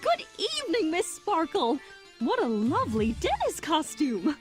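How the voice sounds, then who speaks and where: A woman's voice: very high voice, huge pitch swings, measured pace, faint music behind, pitched above the performer's own voice, full character voice. A woman voicing a cheerful, gushing female pony mayor in a fan fiction audio reading. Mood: cheery greeting.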